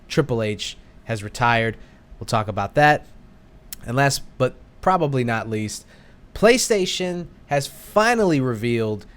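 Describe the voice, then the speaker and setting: A man's voice: medium pitch, smooth. Rambling man hosting a beer podcast. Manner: rambling, with restarts and laughs. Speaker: podcast co-host. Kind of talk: casual chat over beers.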